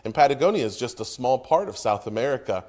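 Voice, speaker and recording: A man's voice: medium pitch, expressive, darkish tone. Earnest man preaching a sermon. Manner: earnest, emphatic preaching with pauses. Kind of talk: sermon with prayer.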